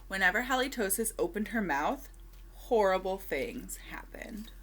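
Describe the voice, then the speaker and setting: A woman reading a children's storybook aloud expressively, with deliberate dramatic pauses and emphasis. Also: mid-range voice, animated, fairly bright tone.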